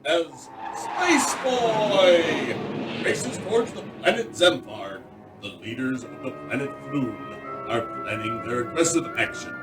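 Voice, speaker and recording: A roomy, distant recording with loud music carries a man acting as a grand old-time radio serial announcer. He introduces a children's space adventure theatrically, swooping widely in pitch. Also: animated. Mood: grand, dramatic. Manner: theatrical, grandly drawn-out delivery. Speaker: announcer and narrator.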